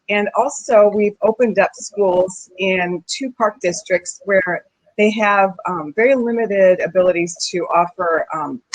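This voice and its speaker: A woman's voice: mid-range voice, dry recording, low-quality recording. A middle-aged woman from the Philippines talks about her teaching studio on a panel discussion. Her speech is earnest and enthusiastic, with plenty of fillers and restarts. The sound is dry and close, with no room around it. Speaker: piano studio owner and teacher.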